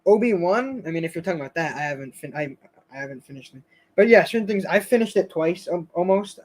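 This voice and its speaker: A man with a somewhat high voice hosts a casual live stream. He rambles and hesitates, restarting his sentences and filling the gaps with fillers. His voice is getting a little hoarse. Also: expressive, fast talker, a little mellow. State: slightly hoarse, losing his voice.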